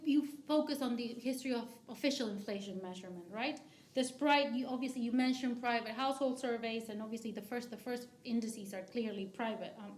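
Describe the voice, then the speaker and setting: A woman from Argentina giving academic commentary as a discussant, halting often with restarts and fillers. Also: fairly high pitch, echoey room. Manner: hesitant, many restarts and fillers. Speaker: discussant at a book talk.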